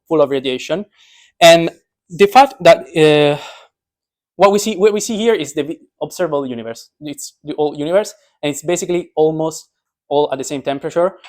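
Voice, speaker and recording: A man's voice: fairly high pitch, a little mellow. Joking young man giving an informal science talk. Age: young adult. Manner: joking, self-deprecating. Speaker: speaker, physics PhD student.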